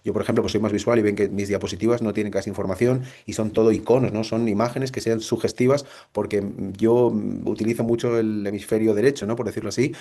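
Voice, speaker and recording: Man, Spanish accent, middle-aged, doctor lecturing, reflective, restarts often, low warm voice. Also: steady tone, fast talker, few pauses. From Spain. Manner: reflective, many restarts and rhetorical questions. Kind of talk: recorded online lecture. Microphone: computer mic.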